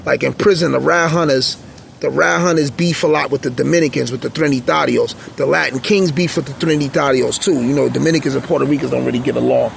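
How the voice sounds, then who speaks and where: A man with a raspy voice telling stories in a blunt, streetwise, rambling monologue. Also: medium pitch, steady tone, quick pace, slight background noise. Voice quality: raspy, gravelly voice. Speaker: channel host, former prisoner. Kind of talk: casual unscripted monologue.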